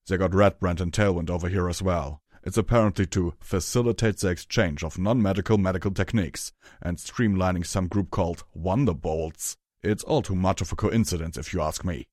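A man voicing a gruff, blunt pony blacksmith mentor in an audiobook story, brusque and teasing with a rough edge. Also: deep voice, a little fast, few pauses, darkish tone, no echo, clean studio sound.

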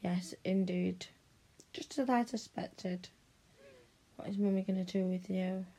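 A woman's voice: mid-range voice, fairly even intonation, a little slow, mellow, slight background noise. A woman performing a scripted audio roleplay, domineering and teasing, often dropping into whispers.

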